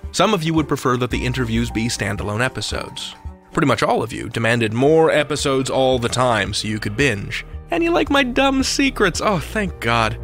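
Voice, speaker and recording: A man's voice: mid-range voice, fairly bright tone. An American man hosting a podcast countdown with playful, theatrical scripted narration. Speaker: podcast host.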